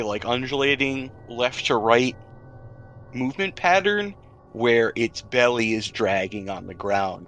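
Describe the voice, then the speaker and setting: Low-voiced man running a tabletop game. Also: a bit bright, slight background noise, low-quality recording. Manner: descriptive narration with casual asides and hesitations. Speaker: game master. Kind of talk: narrating a tabletop role-playing game.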